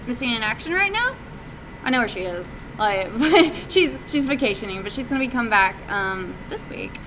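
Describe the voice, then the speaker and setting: A woman answering questions informally at a meetup as an indie game developer, casual and a little hesitant, thinking aloud. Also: a little high. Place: indoor meetup presentation, live. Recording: noisy live room recording, steady hum.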